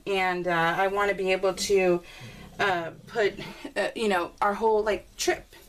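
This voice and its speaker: A woman's voice: mid-range voice, fairly even intonation, a little slow. Rambling, chatty woman on a noisy recording. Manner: rambling, self-interrupting, giggly. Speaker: craft video maker showing scrapbook pages. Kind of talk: casual chatty voice-over, talking to viewers. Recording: clearly noisy home recording with clicks.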